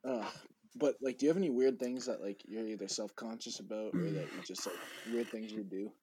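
A man's voice: on the low side, a little fast, mellow, slightly rough, no room sound. A young man with a lisp, rambling and swearing in a casual podcast chat with a friend. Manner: rambling and profane, full of "like". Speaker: podcast co-host.

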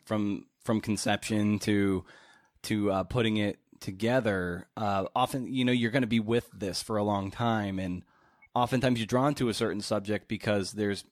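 A man's voice: fairly low pitch, dry recording. Male podcast host, conversational interview style, repeats words, winding questions, dry close sound. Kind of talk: conversational long-form interview.

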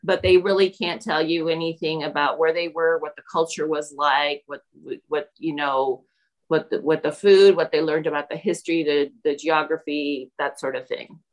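Female interviewee from Peru, a teacher, slightly low voice, reflective and conversational delivery. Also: steady tone, moderate pace, low-quality recording.

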